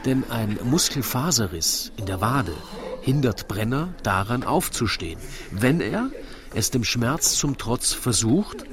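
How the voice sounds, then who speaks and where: A man narrating a scripted rhyming story in a theatrical, deliberate storytelling manner. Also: medium pitch, very animated, a crowd in the background.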